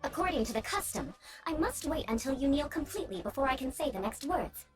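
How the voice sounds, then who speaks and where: Haughty young noblewoman for a game: formal, imperious, ornate, highly animated delivery. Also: fast talker. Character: haughty young noblewoman, prim, vengeful, awkwardly grandiose. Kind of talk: video game voice acting. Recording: game music behind the voice.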